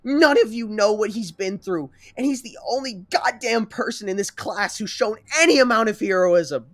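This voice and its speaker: A man voicing a furious young woman hero student who yells at her classmates. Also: moderate pace, no echo. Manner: shrill yelling.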